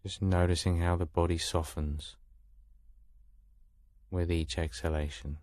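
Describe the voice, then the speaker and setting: A man guiding a meditation in a calm, soothing manner with long pauses, recorded close in a dry space. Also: low voice, dry recording. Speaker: meditation guide. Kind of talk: guided meditation.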